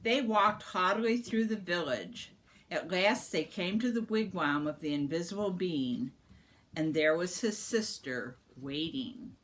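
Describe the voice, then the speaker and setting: A woman narrates a storybook for a young child. Her pace is slow and deliberate, and her pitch moves widely for dramatic, expressive effect. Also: rough recording. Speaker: storyteller reading a picture book aloud to a young child.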